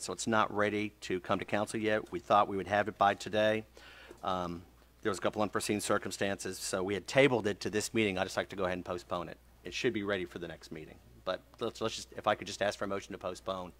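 A man's voice: fairly low pitch, a bit bright. A man speaking as a city official, giving formal remarks at a public meeting into a desk microphone. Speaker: city manager. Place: council chamber.

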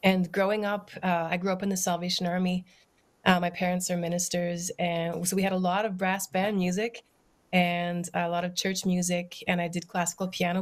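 Woman, forties, fairly low pitch, casual interview storytelling, home video call. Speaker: guest singer and pianist. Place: book-lined room at home, indoors. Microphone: laptop or webcam video call.

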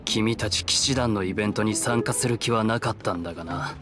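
A man's voice: lowish voice, fairly quick, lightly acted. A man voicing an aloof, stern young tavern owner in a Japanese game, cool and formal. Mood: reserved. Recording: clear game music behind.

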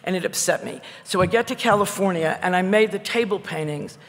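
Older lady painter, loud and gravelly, blunt wry storyteller, echoey hall. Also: on the low side, brisk. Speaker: painter and guest artist. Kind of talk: candid conversational talk at a public event.

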